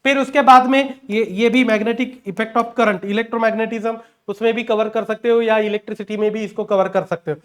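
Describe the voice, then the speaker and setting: A man's voice: sits high, steady tone, moderate pace, hardly any pauses. A man with an Indian accent lecturing as an exam coaching teacher, instructional, mixing in English terms and often checking in with short tag words. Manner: instructional, punctuates points with check words like "okay" and "right"; mixes English terms into speech.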